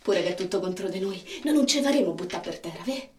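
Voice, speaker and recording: A woman's voice: medium pitch, animated, fast, close to an everyday voice. An actress playing a worried, protective, defiant woman with a colloquial Roman accent in a radio drama. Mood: resolute, concerned. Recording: roomy, distant, noisy microphone.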